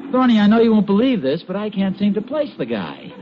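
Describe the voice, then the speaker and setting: A man's voice: high voice, sing-song, brisk, a crowd in the background. An actor playing an affable, bumbling husband in a 1940s radio sitcom, politely hesitant and stammering his way along. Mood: puzzled. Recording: muffled, noisy 1940s radio recording.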